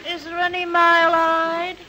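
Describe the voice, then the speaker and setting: An Australian actress playing a naive, gushing, lovelorn old-fashioned spinster in an old radio comedy sketch. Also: female voice, very high-pitched, monotone, unhurried, over loud music, over a noisy crowd. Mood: excited, eager. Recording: very noisy, crackly old radio recording.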